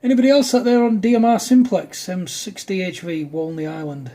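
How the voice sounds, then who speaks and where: A man's voice: high voice, steady tone, a little fast, mellow. A man, an amateur radio operator, talking in a casual chatty style to a fellow operator.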